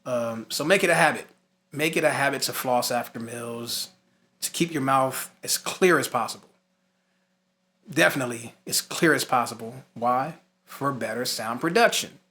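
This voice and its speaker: A man's voice: medium pitch, bright, forceful tone. A man giving an instructional lesson, moving between scripted points and casual, emphatic asides. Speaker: music instructor.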